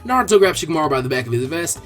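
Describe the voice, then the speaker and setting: A man reading fan fiction aloud in expressive scripted narration. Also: fairly high pitch, racing, faint music behind.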